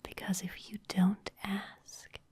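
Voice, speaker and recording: A woman's voice: medium pitch, level tone, slow pace, close to an everyday voice. A woman playing a seductive, domineering vampire office boss in an ASMR roleplay, whispering breathily in a teasing, commanding manner. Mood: commanding, hungry.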